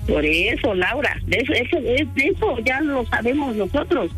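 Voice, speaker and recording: A woman's voice: a little high, big pitch swings, moderate pace, fairly bright tone, faint music behind. A woman with a Mexican accent questioning someone in a scolding, insistent way, repeating her questions. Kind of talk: confrontational phone questioning.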